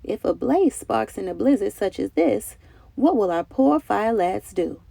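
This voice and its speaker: A woman's voice: medium pitch, expressive, measured pace, gravelly, dark tone, dry recording, heavily acted. A woman voicing a hardworking, eager woman firefighter and cook from a storybook, earnest and exclaiming. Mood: worried.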